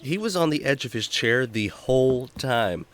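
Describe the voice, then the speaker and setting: Upbeat male explorer-host acted by a man, children's audio drama; showman-like, very expressive. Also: mid-range voice, moderate pace, a little hiss or hum, natural voice. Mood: friendly. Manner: showman-like, friendly, emphatic. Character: upbeat jungle explorer man hosting a kids' story show. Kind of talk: kids' radio drama acting.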